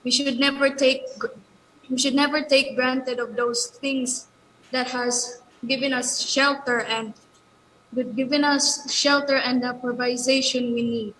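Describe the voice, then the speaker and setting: A woman with a Filipino accent giving a halting spoken reflection as a participant, repeating her phrases. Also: high voice, level tone, fairly bright tone. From Philippines. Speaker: programme participant. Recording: distant mic with background noise.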